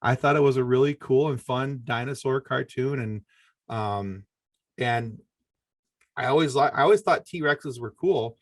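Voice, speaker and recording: A man's voice: medium pitch, measured pace, dry recording, studio quality. A middle-aged man talking casually and informally as a guest in a podcast interview.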